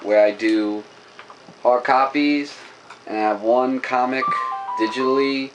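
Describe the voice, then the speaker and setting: A man's voice: medium pitch, fairly even intonation, unhurried, over loud music, low-quality recording. A man introducing comic reviews in an easygoing, hesitant way, recorded on a home camera in a bedroom. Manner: easygoing, hesitant, lots of fillers and agreement. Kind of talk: casual comic book review chat. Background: faint background noise.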